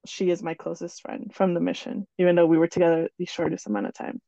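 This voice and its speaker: A young woman chats as a podcast co-host, explaining things in a friendly, relaxed way. Her tone is mellow and warm. The recording is dry but slightly dull and muffled. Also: on the low side, fast talker, vocal fry, dry recording. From born in Ecuador, raised in New Jersey. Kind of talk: casual chat.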